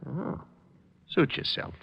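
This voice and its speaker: An actor playing a menacing, wary escaped-convict gang boss in a 1940s radio drama, with tough, slangy, mock-sweet talk. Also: male voice, slow pace, lo-fi sound, close to an everyday voice. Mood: wary, smug. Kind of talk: 1940s radio gangster acting. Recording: very noisy old radio recording.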